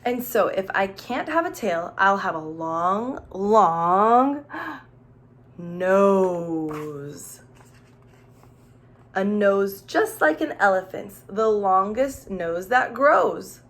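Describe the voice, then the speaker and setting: Female children's teacher for story time: medium-pitched, playful, expressive delivery, speaking directly to little listeners. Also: very slow. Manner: expressive, playful, with gasps and exclamations; simple explanations and questions addressed to young children. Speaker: teacher reading aloud to children. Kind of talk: children's storybook read-aloud with questions and asides.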